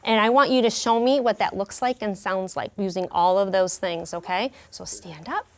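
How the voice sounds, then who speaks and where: A woman in her forties teaching a class of young children, speaking slowly and expressively in an elementary classroom. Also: mid-range voice, slight background noise. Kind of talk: classroom read-aloud and teaching.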